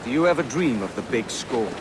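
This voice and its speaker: An actor playing a brash, ambitious young pirate captain in a video game, casual and cocky. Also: male voice, medium pitch, expressive, a little fast, background noise, natural voice. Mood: cocky, dreaming. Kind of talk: video game cutscene acting.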